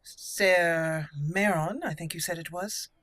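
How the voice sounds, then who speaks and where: A woman hosting a roleplay game playthrough, speaking in a deliberate storyteller manner. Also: lowish voice, fairly even intonation, a bit bright, dry recording. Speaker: gaming channel host. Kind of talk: roleplay game commentary and dramatic lore reading. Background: faint game music.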